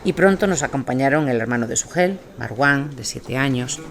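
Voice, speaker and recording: A man's voice: mid-range voice, animated, normal speed, fairly bright tone. A man reading scripted documentary narration as the narrator. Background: music under the voice.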